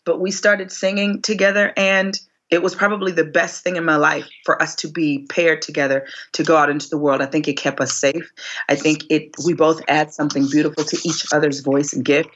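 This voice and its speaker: A woman in her forties, a singer being interviewed, telling her story expressively, her voice climbing high whenever she relives a moment. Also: level tone, a little fast, a bit bright, muffled recording. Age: 40s. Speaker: guest singer.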